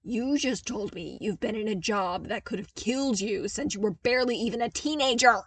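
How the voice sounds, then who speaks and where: A woman's voice: medium pitch, slightly rough, no room sound, distant mic. Woman as an incredulous, emphatic young military man. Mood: heated. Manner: incredulous, emphatic, drawn-out words. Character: horrified young military man, incredulous, hot-tempered friend. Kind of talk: audiobook character dialogue.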